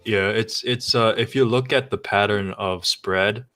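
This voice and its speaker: A man's voice: on the low side, steady tone, unhurried, a bit bright, soft background music. A man from the United States chatting casually on a group stream. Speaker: stream chat participant. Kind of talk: casual chat.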